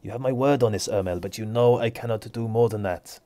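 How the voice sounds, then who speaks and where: A man voicing a stern aristocratic lord who passes judgment in a measured, authoritative way. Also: fairly low pitch, mellow, no echo, natural voice. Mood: reassuring. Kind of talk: tabletop roleplay character voice.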